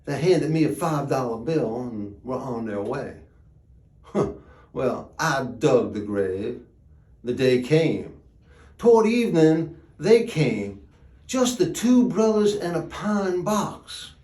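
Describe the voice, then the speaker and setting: An actor playing a wry, plainspoken rural gravedigger, telling a yarn in a drawling folksy dialect with dramatic pauses. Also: male voice, medium pitch, sing-song, darkish tone. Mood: matter-of-fact. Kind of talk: theatrical storytelling monologue. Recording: echoey room.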